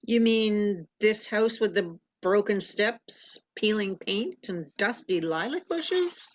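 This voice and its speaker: A woman's voice: medium pitch, expressive, takes it slowly. Quipping detective partner played by a woman: dry, radio play, very varied intonation, slightly dull sound. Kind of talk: comic old-time radio play acting.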